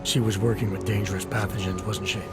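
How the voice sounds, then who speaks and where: An actor playing a guarded, laconic covert agent in a video game, with clipped, understated delivery. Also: male voice, fairly low pitch, flat delivery, fast talker, loud music behind the voice, natural voice. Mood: probing, restrained. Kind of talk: video game cutscene dialogue.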